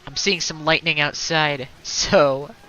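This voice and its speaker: A woman's voice: sits low, steady tone, slow pace, bright, forceful tone, a little hiss or hum, rough recording. A woman streaming a game in casual, rambling chatter, slightly stuttering and congested from allergies. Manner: rambling, heavy on fillers and restarts.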